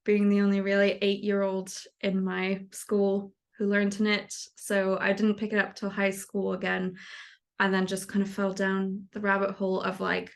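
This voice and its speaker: A woman's voice: mid-range voice, normal speed, creaky. A young adult woman from the United States, a knitwear designer, talking casually in an interview with a rambling manner. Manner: relaxed, rambling, frequent fillers and light laughs.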